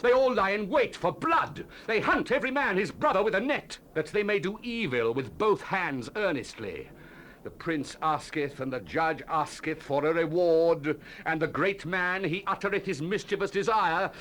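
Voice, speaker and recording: Hissy old cassette, a solemn bible prophet, big swooping declamatory delivery. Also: male voice, high-pitched, a bit bright. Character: solemn biblical prophet proclaiming judgment and lament. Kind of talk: dramatized scripture reading, 1970s audio drama. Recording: hissy 1970s cassette tape.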